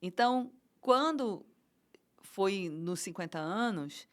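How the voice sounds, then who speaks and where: A woman's voice: mid-range voice, animated, takes it slowly. A middle-aged Brazilian woman speaking Portuguese as an interview guest, passionate and emotional, stumbling over restarts and fillers. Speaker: guest, event producer. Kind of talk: casual conversational interview.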